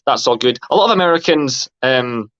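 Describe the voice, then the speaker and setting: A man with a light northern Scottish accent hosts a relaxed livestream chat, stopping and restarting his sentences with plenty of fillers. His voice sits at an ordinary pitch. The audio is dry and dull, with no room sound. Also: no room sound. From northern Scotland. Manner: halting, many fillers and restarts. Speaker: host.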